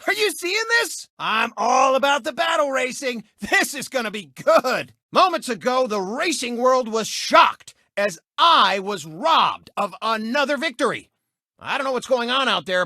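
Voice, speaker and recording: An actor voicing a cocky, gloating race car turned sportscaster in a video game, with a boastful, mocking announcer delivery. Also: male voice, extremely high pitch, bright, forceful tone, no room sound, studio quality, heavily acted. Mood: sulky, annoyed.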